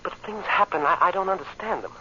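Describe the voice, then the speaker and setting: An actor playing an earnest, anxious young man from the farm, polite and halting, in an old-time radio drama. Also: sits high, bright, forceful tone. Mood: anxious, pleading. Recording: dull, noisy old radio recording.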